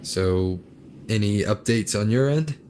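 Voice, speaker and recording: A man voicing a calm, serious young hero in a video game, thoughtful and inquiring. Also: fairly low pitch, slow, slight background noise.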